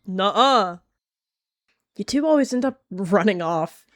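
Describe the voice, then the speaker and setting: A woman's voice: mid-range voice, lively intonation, slow, fairly bright tone, no echo, clean studio sound, pitched above the performer's own voice. A woman voicing a stern she-cat clan leader who speaks bluntly and curtly. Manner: blunt, curt.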